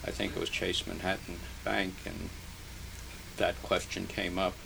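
An older man speaks in a low, gravelly voice with a flat, even delivery, pausing often and filling gaps as he searches his memory. The noisy archival tape hisses clearly behind him. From Hungary, raised in New Jersey. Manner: slow, halting, many pauses and fillers. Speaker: interviewee. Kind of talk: oral history interview.

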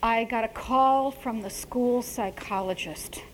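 Middle-aged american lady telling stories to a crowd, highish voice, laughs at herself a lot. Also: slow pace, a little hiss or hum, poor audio. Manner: animated, self-mocking, laughs at own jokes. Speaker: author giving a talk. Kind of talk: conversational public talk.

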